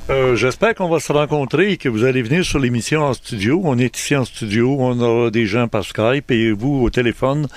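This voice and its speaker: A man with a Quebec French accent hosting a radio show from the studio, chatty and jokey with his caller. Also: medium pitch. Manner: chatty, jokey, quick to laugh. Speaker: radio host. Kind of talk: casual radio interview.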